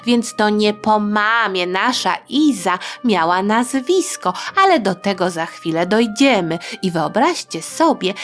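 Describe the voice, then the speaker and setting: A woman telling history to young listeners in a chatty, playful storytelling style, speaking directly to her audience. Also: on the high side.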